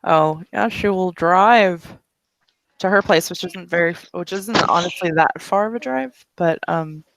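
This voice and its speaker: A game master narrates a tabletop roleplay scene, rambling with lots of fillers. The voice is gravelly and mid-pitched, recorded close in a dry room. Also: unhurried, fairly bright tone, no room sound, rough recording.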